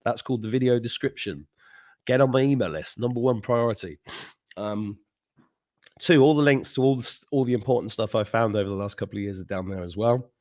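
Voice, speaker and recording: A man's voice: mid-range voice, brisk, darkish tone. A middle-aged man hosting a live stream, chatting casually with viewers, joking, laughing often and drifting into rambling asides. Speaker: host.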